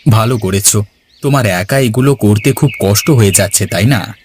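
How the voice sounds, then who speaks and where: A man's voice: fairly low pitch, moderate pace, a little mellow, a little hiss or hum, lightly acted. A man voicing an earnest, dutiful village watermelon farmer in a cartoon, plain-spoken in manner. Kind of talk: cartoon dialogue.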